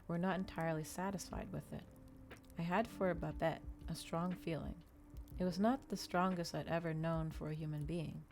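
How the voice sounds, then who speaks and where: A woman voicing a brooding, articulate male vampire from a novel in a formal, deliberate manner. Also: fairly low pitch, steady tone, a little mellow, faint music behind, natural voice. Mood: pensive.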